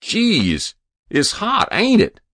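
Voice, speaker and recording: A man's voice: high voice, wildly swooping pitch, drawn-out words, a bit bright, dry recording, clean studio sound, pitched above the performer's own voice, exaggerated voice. A man voicing a cocky, crude young American tough guy in a story reading, sneering and slangy. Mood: insolent, casual. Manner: leering, sneering, slangy, insolent. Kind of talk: literary short story reading.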